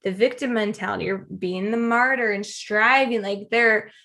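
A woman co-hosting a faith-based podcast, reflective and quick to back her partner up with soft affirmations. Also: mid-range voice, steady tone. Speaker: podcast co-host.

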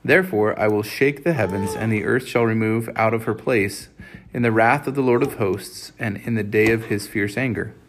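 A man's voice: fairly low pitch, moderate pace, background noise. A man reading scripture aloud as he leads a family reading. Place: home recording with family.

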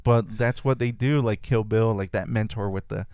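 A man's voice: fairly low pitch, steady tone, normal speed, a little mellow, clean tone, dry recording. A man whose first language is Spanish, a guest reflectively telling stories from his childhood in a casual conversation. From California. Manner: reflective storytelling with frequent restarts.

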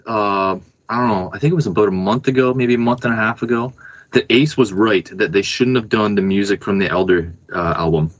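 A man's voice: lowish voice, a little fast, dry recording, highs cut off. A middle-aged man speaking casually as a guest in a podcast conversation. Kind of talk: casual chat.

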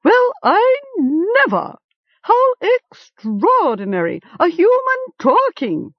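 Woman voicing a mocking, screeching raven, very high, storybook creature, dull lo-fi sound. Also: huge pitch swings, slow pace, no room sound, full character voice. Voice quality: screechy, cawing. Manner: haughty, mocking. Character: cantankerous screeching male raven.

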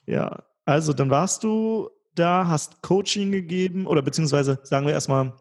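A man hosting a podcast, asking questions in a relaxed, conversational way with fillers. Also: fairly high pitch, normal speed. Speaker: podcast host. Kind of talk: casual podcast interview.

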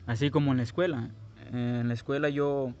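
Casting: young Guatemalan man, loose rambling storyteller, mid-pitched, dull home-mic sound. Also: fairly even intonation, moderate pace, a little mellow, soft background music. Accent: Guatemalan Spanish. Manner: rambling, hesitant, many restarts and fillers. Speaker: podcast host. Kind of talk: casual personal storytelling.

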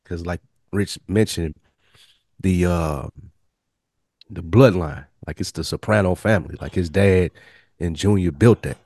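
Deep-voiced man, casual podcast co-host. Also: unhurried, pauses often, darkish tone, dry recording. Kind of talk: casual discussion.

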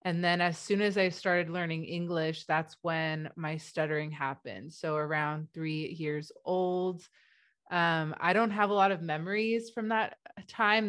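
A woman's voice: sits low, a bit bright, smooth. A woman whose first language is Hebrew, a guest on an interview podcast, speaking with a stutter, with repeated sounds and restarts, from her home office over a video call. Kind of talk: interview conversation.

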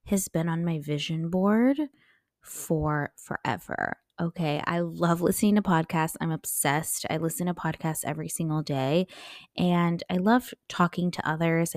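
A woman's voice: fairly low pitch, no echo, clean studio sound. A young adult American woman hosting a solo podcast, talking informally in a chatty, enthusiastic and emphatic way. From United States. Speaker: podcast host and life coach.

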